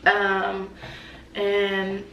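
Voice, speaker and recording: A woman's voice: medium pitch, monotone, extremely slow, highs cut off, a little hiss or hum. Young woman, stuffy nose, rambling casual chat, echoey room, distant mic. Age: young adult. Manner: rambling, filler-heavy, frequent "like" and "y'all". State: stuffy nose, sick with a cold-like illness. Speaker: vlogger.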